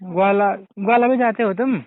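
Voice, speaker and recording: A high-voiced, rapid-talking man with a Kumaoni accent, pestering the other person with jokey, persistent questions. The sound is thin and phone-like. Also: no room sound. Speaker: prank caller. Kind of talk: comedy prank call. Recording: tinny phone line.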